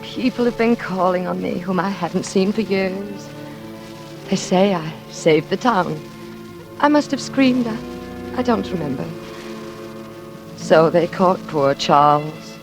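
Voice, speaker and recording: A woman's voice: on the low side, expressive, slow, pauses often. An actress playing a genteel, nervous, lonely woman with wistful eagerness in a 1940s radio suspense drama. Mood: dreamy, fading. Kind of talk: 1940s radio suspense acting with confiding narration. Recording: vintage radio drama with music behind.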